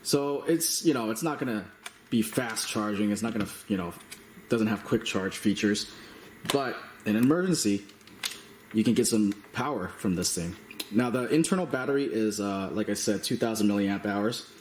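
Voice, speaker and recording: A man giving a casual hands-on product demonstration as a reviewer, speaking in a halting, matter-of-fact way. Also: fairly low pitch. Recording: roomy echo, slight background noise.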